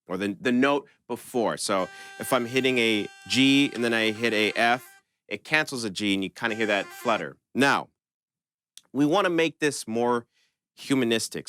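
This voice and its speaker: Bright, clear-voiced man teaching casually. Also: lowish voice, no echo. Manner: informal, conversational instructor. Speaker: host of a synth tutorial channel. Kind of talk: casual step-by-step music tutorial.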